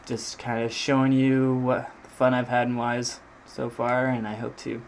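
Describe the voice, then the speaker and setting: A man giving a casual, hesitant software demo walkthrough as a game sound designer, thinking aloud as he goes. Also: medium pitch, completely flat delivery, normal speed, slight background noise. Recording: loud music and effects behind voice. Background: loud music and game sound effects.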